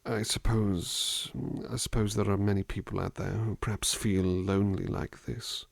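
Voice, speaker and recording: A man playing a gentle, attentive butler in an ASMR roleplay, musing in a polite, hesitant, formal way into a close microphone. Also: on the low side, fairly even intonation, moderate pace, vocal fry, no echo. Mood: pensive. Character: gentle, musing, attentive male butler looking after someone. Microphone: close dry microphone.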